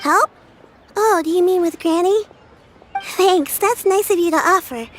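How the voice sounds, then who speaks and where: Very high, plucky young girl. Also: a little slow, a little hiss or hum. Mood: earnest, brave. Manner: earnest, youthful, upbeat. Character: plucky, polite young girl, patient carer for her granny. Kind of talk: video game voice acting.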